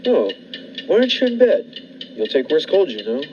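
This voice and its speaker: A man playing a sinister, falsely solicitous captor in a 1940s-style radio thriller, insinuating and unhurried. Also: a little high, big pitch swings, a little mellow. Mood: falsely caring. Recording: dull lo-fi recording, faint noise.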